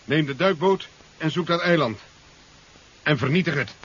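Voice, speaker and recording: An actor playing a thoughtful, self-assured male scientist in a 1970s radio drama, explaining in a deliberate manner. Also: on the high side, animated, a bit bright. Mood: resolute, helpful. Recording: muffled radio recording with faint hiss.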